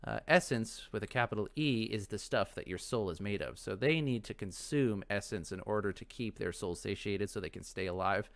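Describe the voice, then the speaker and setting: A man with a lowish voice hosts a casual let's play. He muses out loud and talks to himself, wandering from one thought to the next in loose game commentary. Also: moderate pace, gravelly, few pauses. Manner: rambling, musing, talks to himself.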